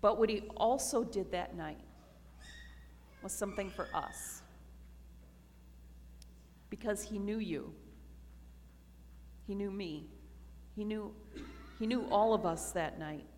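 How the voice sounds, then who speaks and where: A woman pastor preaches with slow, deliberate reflection, each phrase left room to settle. Her mid-range voice carries a long reverb from the church sanctuary around her. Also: medium pitch, a little mellow, slight background noise. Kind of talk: communion sermon.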